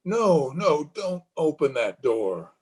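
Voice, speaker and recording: An actor playing a haunted, superstitious, fearful husband in the dramatic, halting style of a 1930s radio horror play. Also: male voice, a little high, big pitch swings, darkish tone, heavily acted. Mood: frightened. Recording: husky, muffled voice recorded over video call.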